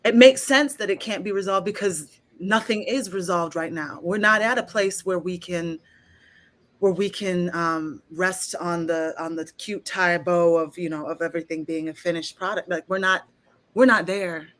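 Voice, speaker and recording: Thoughtful woman interviewer with lowish pitch. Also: fairly even intonation, moderate pace, a bit bright. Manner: affirming, attentive listener. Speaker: interviewer and actor. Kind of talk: casual, thoughtful conversation.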